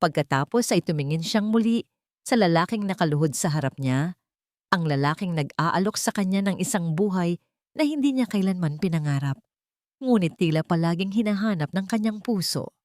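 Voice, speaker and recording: A woman's voice: fairly low pitch, lively intonation, normal speed, a little mellow, dry recording, studio quality. A woman storyteller giving a dramatic scripted narration of a romantic tale.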